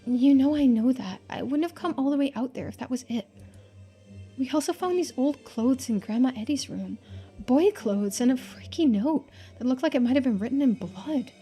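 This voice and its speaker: An earnest young woman from a story game, acted by a female streamer, high in pitch. She confides bluntly and intensely in a rambling flow, with music behind her. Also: expressive, normal speed, dark tone, husky. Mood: urgent.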